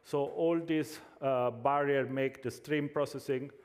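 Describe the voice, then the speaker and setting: Italian-accented male conference presenter, mid-pitch, halting delivery, reverberant hall. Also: fairly even intonation. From Italy. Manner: hesitant, frequent fillers and restarts. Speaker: conference speaker.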